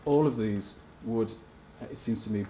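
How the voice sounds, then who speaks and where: A man speaks at a seminar panel table in a mellow, fairly low voice. His talk is careful and academic, halting often to restart a phrase. A faint room hum sits underneath. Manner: hesitant, many restarts, measured.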